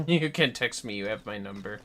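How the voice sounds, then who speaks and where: A man's voice: on the low side, expressive, moderate pace, sharp tone. A man chatting casually on a gaming livestream, sweary and playfully theatrical, with music behind him. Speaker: livestreaming gamer.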